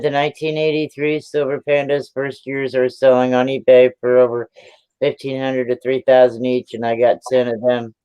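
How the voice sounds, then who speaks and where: A man's voice: mid-range voice, darkish tone, smooth, lo-fi sound. Man, fifties, live stream host, rambling casual chat, plain-spoken. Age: 50s.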